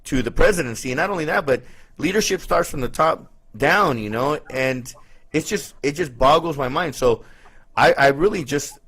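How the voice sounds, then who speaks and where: A man's voice: on the high side, lively intonation, moderate pace, a bit bright, slightly rough, rough recording. A man hosting a live interview show, talking in an informal, conversational and effusive way.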